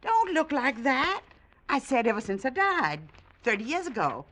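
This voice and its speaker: A woman's voice: sits high, wildly swooping pitch, moderate pace, a bit bright, full character voice. An actress playing a cheerful, hospitable old American grandmother back from the dead, folksy and insistent in a vintage radio drama. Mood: hospitable, breezy. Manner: folksy, insistent, brushes questions aside.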